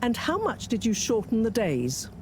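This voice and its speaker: Commanding female team leader for a game: high voice, animated, brisk, over noisy hum. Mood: stern. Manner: authoritative, clipped, businesslike. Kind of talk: scripted video game dialogue.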